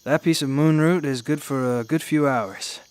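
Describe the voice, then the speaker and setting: A man's voice: on the high side, normal speed, close to an everyday voice. An actor playing a suave, mysterious man in a radio drama, polite, wry and teasing as he talks. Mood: wry, amused. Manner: polite, wry, smooth-talking.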